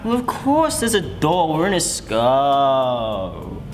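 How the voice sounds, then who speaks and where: A man's voice: mid-range voice, wildly swooping pitch, slow, faint music behind. A young man acting in an amateur short film, talking in a sarcastic, exasperated way in a school hallway. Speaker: student actor. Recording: echoey hallway with faint hiss.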